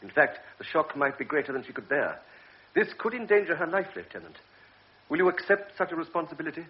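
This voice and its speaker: Serious old doctor, stern and preachy, radio play, dull sound. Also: male voice, on the high side, big pitch swings, quick pace. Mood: grave. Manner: grave, lecturing. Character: grave older male doctor, stern and measured. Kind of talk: radio drama acting. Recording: dull old radio recording with faint hiss.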